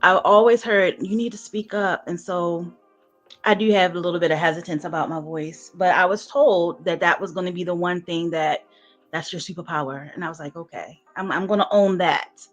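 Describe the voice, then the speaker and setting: A woman performing sensual spoken word poetry in a soft, smooth, hushed voice. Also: lowish voice, fairly even intonation, muffled recording, faint music behind. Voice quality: soft, smooth, breathy-edged voice. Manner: hushed, slow sensual delivery with soft laughs in chat. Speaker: guest poet.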